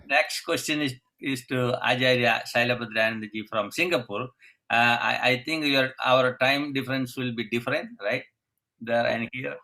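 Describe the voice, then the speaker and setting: A man hosts an interview on a video call, his voice bright and forceful. He speaks in a halting way with non-native phrasing as he puts questions to guests. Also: medium pitch, poor audio. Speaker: interview host.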